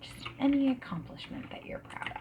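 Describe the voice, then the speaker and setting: A woman speaking softly and breathily in an ASMR roleplay, often slipping into whispers as she asks gentle, reassuring questions like a friendly interviewer. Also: sits high, fairly quick. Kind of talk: soft-spoken ASMR roleplay. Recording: steady noise, keyboard typing and clicks. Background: mechanical keyboard typing and clicks.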